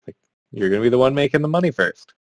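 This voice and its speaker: A man's voice: medium pitch, lively intonation, fast talker, no room sound, clean studio sound. A man co-hosting a podcast in casual chat, rambling and leaning on "like". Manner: rambling, heavy use of "like". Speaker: podcast co-host.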